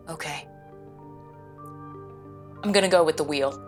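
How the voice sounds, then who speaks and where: A wary, dry space station crew member, with a lowish voice and unhurried delivery. She is laconic and a little hesitant, in an audio drama with music playing strongly behind her. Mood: tense.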